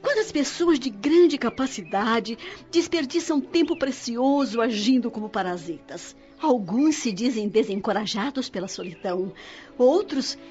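A woman's voice: on the high side, a little mellow, lightly acted. A woman with a Brazilian accent playing a loving, devout spirit mother in a radio drama, tender and solemn as she exhorts her son. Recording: slightly dull radio drama with music. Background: music.

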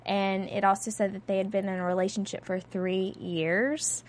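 A woman's voice: medium pitch, level tone, normal speed. Musing woman podcast co-host. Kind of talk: casual podcast chat.

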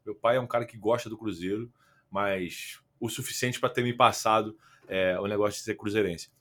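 A man's voice: lowish voice, fairly even intonation, normal speed, fairly bright tone. A young man with a Carioca accent, a guest on a remote podcast call, talking casually and rambling with many fillers and restarts. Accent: Carioca Portuguese. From Rio de Janeiro, Brazil. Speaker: podcast guest, football content creator.

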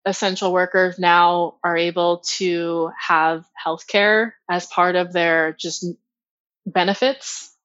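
A woman's voice: fairly low pitch, fairly even intonation, a bit bright. A woman with a Midwestern American accent talks casually on a podcast, bubbly and full of eager questions. Her audio is a little dull and lo-fi, with no room echo around it. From Ohio, US Midwest. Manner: bubbly, questioning, quick laughs. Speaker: podcast co-host.

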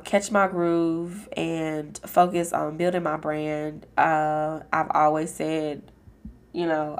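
A woman hosting her own podcast, chatting casually and frankly with lots of fillers, her voice hoarse, raspy and nasal from a cold. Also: low voice. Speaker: podcast host. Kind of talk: casual solo podcast chat.

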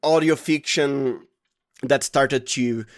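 A man's voice: medium pitch, takes it slowly. A man from Brazil with a Brazilian accent, a native Portuguese speaker, talking casually as an interview guest and often restarting his phrases. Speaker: guest, podcast producer and sound designer.

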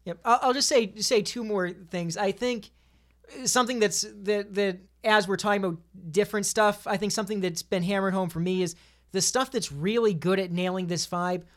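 Casting: female podcast panelist, mid-pitched, opinionated, explains at length in relaxed conversational style. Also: moderate pace. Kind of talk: casual podcast discussion.

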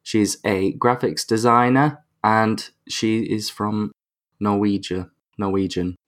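A man's voice: lowish voice, steady tone, slow, fairly bright tone. Chatty, jokey male podcast host. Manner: jokey, chatty, stumbles over words. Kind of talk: casual podcast chat.

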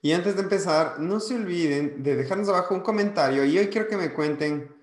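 A man's voice: high-pitched, brisk. An upbeat man co-hosting a casual interview, introducing and questioning a guest with enthusiasm. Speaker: podcast co-host. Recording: echoey room.

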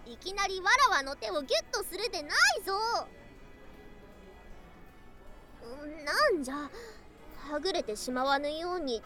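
An actress playing a proud, excitable, affectionate young female lamia wife in a voice drama, with archaic, haughty speech that easily gets flustered. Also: high voice, fast, loud music behind the voice. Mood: flustered, bashful. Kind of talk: Japanese situational ASMR voice drama.

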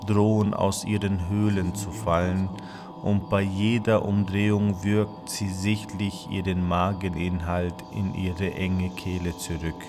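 A man reads literary prose aloud in a low voice, taking his time with a measured, declamatory delivery. It is a spoken-word piece, and music plays clearly behind him. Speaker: reader of literary texts.

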